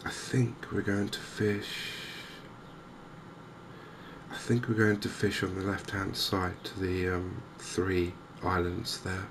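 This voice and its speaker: A man with an English accent giving soft, gentle, unhurried cozy ASMR commentary on a video game as the channel host. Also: low-pitched, level tone, creaky, background noise. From England.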